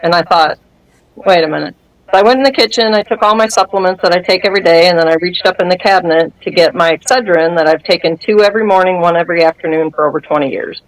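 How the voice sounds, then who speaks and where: A woman in her fifties with a fairly low voice tells her story in a relaxed interview chat. She brings it to life by acting out the things people said to her and laughs often. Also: fast talker, low-quality recording. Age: 50s. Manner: animated storyteller who acts out quoted dialogue and laughs often. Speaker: guest sharing a testimony.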